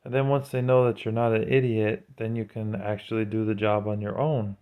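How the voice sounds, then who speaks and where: A man's voice: on the low side, level tone, fairly quick, clean tone, narrow, tinny audio. A man delivering an informal, sermon-like monologue as a religious vlogger, speaking in an earnest, admonishing way. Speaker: vlogger giving religious commentary.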